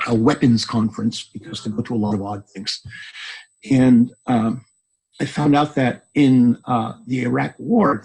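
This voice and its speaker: A man with a husky voice being interviewed as an author, speaking haltingly and often repeating words as he thinks. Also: medium pitch, darkish tone, low-quality recording. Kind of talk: podcast interview conversation.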